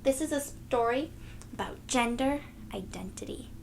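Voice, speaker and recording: A woman reading a children's picture book aloud as a storyteller, in a deliberate, teacherly, sing-song way for young listeners. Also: fairly high pitch, lively intonation, creaky, noisy background.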